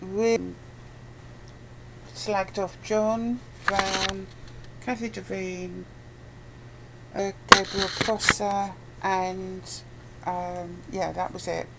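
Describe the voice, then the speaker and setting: A woman's voice: mid-range voice, flat delivery, very slow, vocal fry, pauses often. A British woman chatting casually and bluntly as an interviewee, with some swearing. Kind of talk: casual conversation. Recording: noisy amateur recording with background noise.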